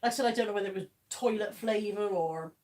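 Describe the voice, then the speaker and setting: A woman's voice: medium pitch, quick pace, darkish tone, slight background noise. A woman hosting a live stream in a casual chatty way, reading out viewer comments, laughing often and talking bluntly. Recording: voice somewhat far from the mic.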